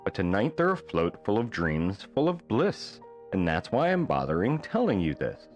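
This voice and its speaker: A man reading a rhyming children's bedtime story aloud in a playful, theatrical manner with deliberate phrasing. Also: lowish voice, huge pitch swings, faint music behind. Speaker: storybook reader.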